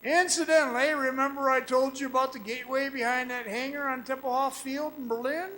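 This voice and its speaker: An actor playing a sinister storyteller devoted to an underground fire cult, confiding and taunting in a radio drama monologue. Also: male voice, very high-pitched, steady tone, fairly quick, slight background noise. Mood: insistent, confiding. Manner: menacing, taunting, confiding, addressing the listener. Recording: loud music bed under the voice. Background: loud dramatic music.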